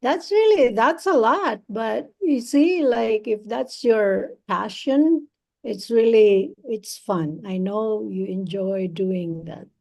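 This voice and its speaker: Woman tutor, slow-talking, gravelly, raised pitch, video call. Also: darkish tone. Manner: slow, encouraging, many fillers. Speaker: English speaking tutor. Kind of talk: encouraging online speaking practice.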